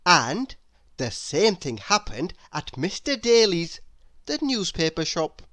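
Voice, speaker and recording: A woman reading a children's storybook aloud as an animated narrator. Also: lowish voice, takes it slowly, no room sound, clean studio sound. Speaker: storybook reader.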